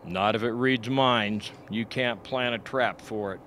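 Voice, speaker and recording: A man playing a grave, earnest expedition doctor in a radio play, reasoning in a measured way. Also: fairly low pitch, a bit bright. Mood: matter-of-fact. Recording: faint background noise.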